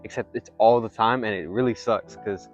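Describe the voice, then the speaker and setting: A young man with a congested, nasal voice talking candidly in a vlog, halting and rambling with many fillers and restarts. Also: fairly low pitch, soft background music. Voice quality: congested, nasal from blocked nose; soft, not loud. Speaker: vlogger. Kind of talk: candid personal vlog monologue.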